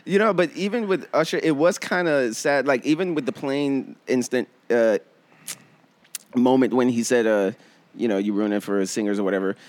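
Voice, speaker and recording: A man's voice: mid-range voice, normal speed, dry recording. Male co-host, rambling casual talk, dead-dry mic. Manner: rambling, many fillers and laughs. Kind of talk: casual podcast chat.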